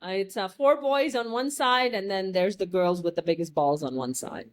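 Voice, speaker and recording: A young adult American woman telling stories in a casual chat, lively and blunt, joking and swearing freely. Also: mid-range voice, moderate pace. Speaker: guest.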